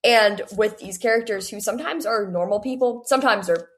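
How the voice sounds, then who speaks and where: Young adult female, medium pitch, eager, rambling, casual interview guest. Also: brisk. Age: late teens, young adult. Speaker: author, podcast guest.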